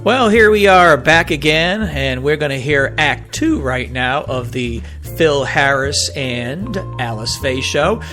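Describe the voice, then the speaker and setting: A bright, forceful male voice over a soft music bed. He hosts a radio program in a chatty, folksy way, pitched slightly high. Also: takes it slowly, few pauses. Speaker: radio show host. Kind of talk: casual introductions to old radio shows.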